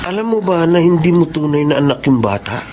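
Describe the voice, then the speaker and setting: An actor playing a hesitant, gossipy friend in a radio drama, speaking in a halting, earnest way. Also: male voice, on the high side, lively intonation, close to an everyday voice. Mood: urgent. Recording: very noisy radio drama recording.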